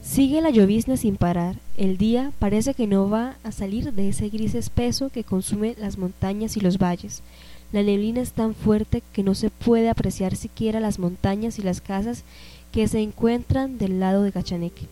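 A woman narrating a radio drama in a calm, descriptive way. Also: mid-range voice, normal speed, low-quality recording. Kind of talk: scripted narration.

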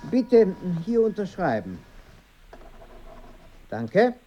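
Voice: mid-range voice, huge pitch swings, extremely slow, muffled recording, full character voice. An actor playing a formal, over-polite luxury hotel waiter in a radio play, officious and stiffly correct. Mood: servile.

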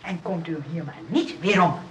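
A woman playing a prim, moralising but soft-hearted nursing sister in a radio play, earnest and fretful. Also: on the low side, normal speed, background noise, lightly acted. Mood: reproving.

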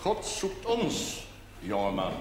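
A man's voice: on the low side, huge pitch swings, slow pace, heavily acted. An actor playing a solemn religious teacher in a television drama, instructing a young man in a declamatory manner. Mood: solemn, certain. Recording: echoey hall with background noise.

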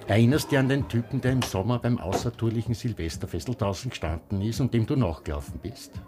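An actor playing a playful, showy friend in a radio drama, speaking with an Austrian accent. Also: male voice, mid-range voice, fast, over music, close to an everyday voice.

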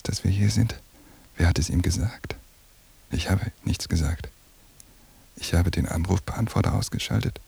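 A very gravelly, low-pitched man, husky and breathy to the point of half-whispering. He is paranoid and obsessive, brooding deliberately through his secret thoughts. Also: mellow, exaggerated voice. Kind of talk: radio drama interior monologue.

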